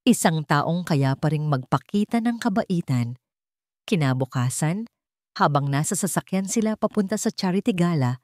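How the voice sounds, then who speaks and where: A woman narrates a scripted romance drama as a storyteller. Her voice sits slightly low and moves with very animated intonation, recorded close and dry. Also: dry recording.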